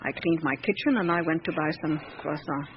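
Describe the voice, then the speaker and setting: An actress playing a guarded seventy-year-old housekeeper in a radio drama, giving curt, reticent, matter-of-fact answers. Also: female voice, deep voice, brisk. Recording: dull lo-fi radio recording with clear hiss.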